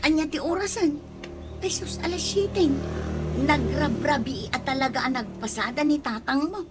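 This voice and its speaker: A woman's voice: high voice, normal speed, heavy background noise, lightly acted. A woman acting a nagging, worried wife and mother in a radio comedy-drama, retorting fretfully with an Ilocano accent. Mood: impatient.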